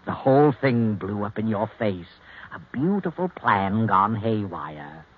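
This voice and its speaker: Ominous mocking night narrator, low voice, swoops around, old radio mystery. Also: male voice, lively intonation, unhurried, a little hiss or hum. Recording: muffled vintage radio recording with music.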